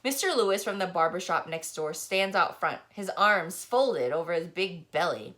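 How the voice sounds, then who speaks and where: A woman narrates a first-person story in a bright, forceful voice. Her reading is expressive and animated, with lively movement in pitch, in the manner of an audiobook. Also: normal speed. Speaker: audiobook reader.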